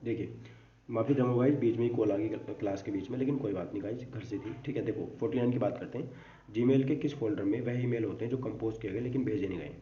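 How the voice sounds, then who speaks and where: Male exam coach, brisk informal quiz delivery with English terms mixed in; roomy, distant recording. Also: fairly low pitch. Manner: repeats catchphrases, mixes English terms into explanations. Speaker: online exam-prep teacher. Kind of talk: informal lesson reading out quiz questions and answers. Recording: dull, distant, echoey room with faint noise.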